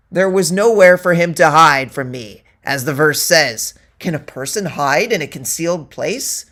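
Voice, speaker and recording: Male reader, slow pace, theatrical delivery, deliberate declaimed quotations, satirical storytelling. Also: a little high, fairly bright tone. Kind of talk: dramatic reading of a satirical tract.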